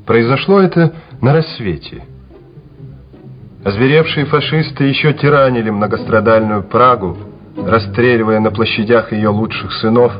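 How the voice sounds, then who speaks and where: Male narrator, scripted war drama, mid-pitched, slightly muffled radio recording, faint music. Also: normal speed.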